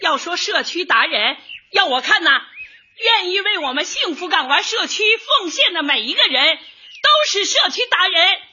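A cheerful older neighborhood housewife in a radio comedy. She speaks in a very high, lively voice, earnest and chatty. The recording is slightly dull. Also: normal speed, a little hiss or hum, heavily acted. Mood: earnest, warm. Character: cheerful, earnest older housewife, community-minded dance organizer. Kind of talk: light comedy radio drama acting.

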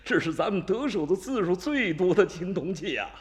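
A man's voice: high voice, animated, moderate pace. An actor playing a greedy gang boss who gloats and savors his loot. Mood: gloating. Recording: reverberant radio drama with music.

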